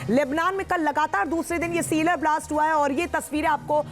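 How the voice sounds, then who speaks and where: High-pitched woman news anchor. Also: fairly even intonation, brisk. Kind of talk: live breaking-news presenting. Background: news music bed.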